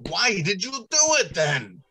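Radio play: man as stern, flustered factory boss, slightly high, animated, halting and dramatic. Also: breathy edge, full character voice. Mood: stern, bitter. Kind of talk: old-time radio drama acting. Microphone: video call.

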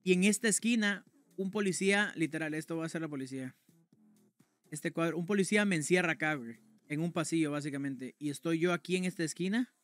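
A man's voice: fairly high pitch, quick pace, no room sound, faint music behind. A young man streaming from a room at home, casually telling a personal story to his chat in a slangy, conversational way. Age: young adult. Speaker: game streamer.

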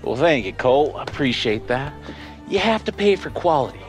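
A proud goofy bbq joint owner, kinda high voice, swings all over, game drama. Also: male voice, brisk, soft background music. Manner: animated, quirky. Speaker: barbecue restaurant owner character. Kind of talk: comedic game cutscene acting.

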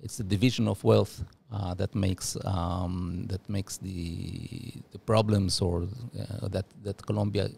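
Gravelly guy in his 40s, uruguay-born, stop-start podcast talk, studio mic. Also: low-pitched, fairly even intonation, darkish tone. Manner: halting, many restarts and fillers. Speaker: guest, former diplomat. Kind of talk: podcast interview. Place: studio office room. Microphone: studio mic with headphones.

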